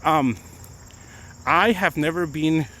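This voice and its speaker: A man's voice: fairly high pitch, slow pace, pushed and bright, slight background noise. Middle-aged man with a Mexican accent. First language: Spanish. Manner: chatty, rambling, many restarts. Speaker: attorney vlogger. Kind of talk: casual live-stream chat. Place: outdoors on a morning walk.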